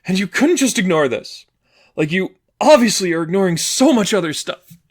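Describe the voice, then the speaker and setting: An exasperated teenage girl, acted out by a man in an audiobook story, wounded and bitter. The pitch is pushed well above a usual male voice. The delivery is emphatic and sarcastic, halting when upset. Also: very animated. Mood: bitter, indignant. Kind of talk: fan fiction audiobook dialogue.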